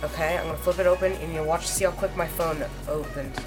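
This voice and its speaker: A woman with a slightly hoarse voice, rambling through a casual unboxing review with lots of fillers. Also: sits low, steady tone, over music. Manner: rambling, halting, many fillers. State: hoarse, under the weather. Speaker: tech reviewer.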